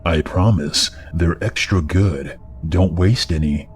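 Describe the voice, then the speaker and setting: Creepy ice cream truck driver, coaxing, low voice, voiced by a man, background music. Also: slow.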